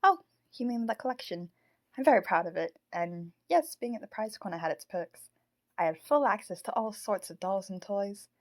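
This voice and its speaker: A woman acts out a haunted puppet in an audio drama. The voice sits at a middle pitch. The puppet is polite, earnest and precise with its words. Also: animated, normal speed, lightly acted. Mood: proud, fond. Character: Marionette, polite, anxious, proud haunted puppet.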